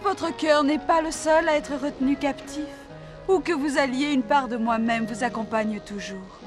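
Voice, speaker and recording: High-voiced young cartoon princess played by a woman. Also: normal speed, over music, natural voice. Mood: tender. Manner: theatrical, expressive. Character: spirited, imperious young fairy-tale princess. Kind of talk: cartoon voice acting.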